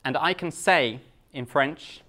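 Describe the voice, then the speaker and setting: A British man from the UK gives a live talk to a Japanese audience in simple, slow English, joking at his own expense. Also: on the high side, bright, forceful tone. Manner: simple slow English with self-mocking jokes. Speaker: guest speaker.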